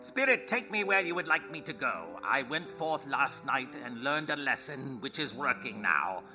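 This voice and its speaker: A man playing a timid, humbled gentleman in a theatrical, earnest 1930s-style radio play. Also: medium pitch, big pitch swings, normal speed, over music, natural voice. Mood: humbled, curious.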